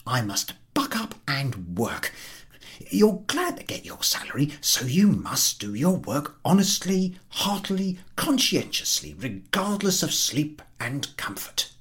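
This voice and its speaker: A man's voice: fairly high pitch, fairly bright tone, voice pushed up, full character voice. A man voicing an officious, over-zealous head ticket collector in a story reading, pompous and insistent, with a stutter.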